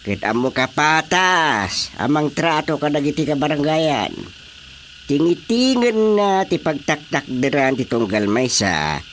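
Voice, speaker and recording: A man's voice: on the high side, sing-song, measured pace, a bit bright, slight background noise. An actor with an Ilocano accent playing an older husband and father in a radio drama. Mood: earnest.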